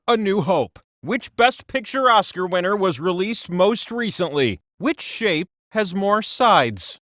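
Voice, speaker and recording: An actor playing an excitable, quirky talking wheel that hosts a video game quiz, with a playful, mock-dramatic, showy delivery. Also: male voice, high voice, dry recording, studio quality, exaggerated voice. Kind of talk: theatrical game show question reading.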